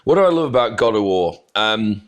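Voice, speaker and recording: A man's voice: fairly low pitch, expressive, fairly quick. A man in his forties from North Wales, talking unhurriedly and a little self-deprecatingly as a podcast guest. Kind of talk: casual interview chat.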